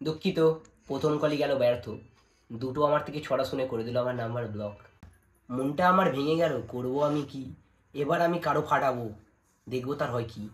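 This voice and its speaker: A man's voice: medium pitch, moderate pace, long pauses. A young man with a West Bengali accent chatting to viewers and making prank calls in a playful, mock-dramatic, pleading manner. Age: young adult. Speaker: prank-calling vlogger. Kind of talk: casual vlog chat and prank phone calls.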